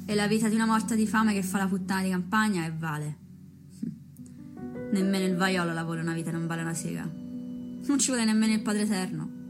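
A woman's voice: mid-range voice, fast, long pauses, over music, close to an everyday voice. A woman playing a hardened, matter-of-fact country prostitute, telling her tale colloquially in a radio drama. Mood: bitter. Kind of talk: theatrical storytelling in radio drama.

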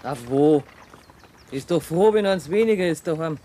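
A practical, bossy woman with a Bavarian accent, in a radio play. Her matter-of-fact speech swings widely in pitch. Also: expressive, moderate pace, mellow. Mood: brisk, practical. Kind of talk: 1970s German radio drama acting.

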